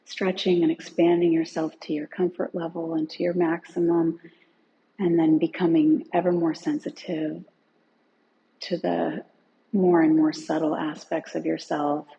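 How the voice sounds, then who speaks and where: Low, creaky-voiced woman guiding relaxation. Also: flat delivery, lo-fi sound. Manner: gentle, unhurried with long pauses. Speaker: meditation and yoga teacher. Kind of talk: guided relaxation instruction.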